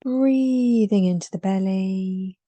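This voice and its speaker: A woman leading a guided meditation in a soothing, unhurried way, leaving long pauses between short, softly breathed phrases. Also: medium pitch, no echo.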